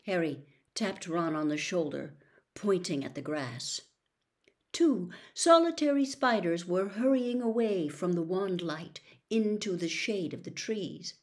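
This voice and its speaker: Low-pitched woman reading a story. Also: unhurried, a little mellow. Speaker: audiobook reader. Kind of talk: scripted storybook narration.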